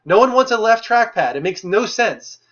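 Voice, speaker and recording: A man's voice: very high-pitched, animated, moderate pace, a bit bright. A young man hosting a casual gaming news show, opinionated and full of fillers as he runs through stories. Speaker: podcast host. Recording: muffled lo-fi livestream audio.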